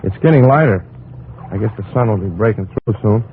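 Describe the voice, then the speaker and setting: A man's voice: on the low side, lively intonation, fairly quick, slight background noise. An actor playing a weary, bitter man in an old radio crime drama, speaking in terse, world-weary phrases. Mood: resigned, subdued. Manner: terse, world-weary, hard-boiled delivery. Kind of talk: 1950s radio drama acting. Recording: muffled old radio recording, loud music behind.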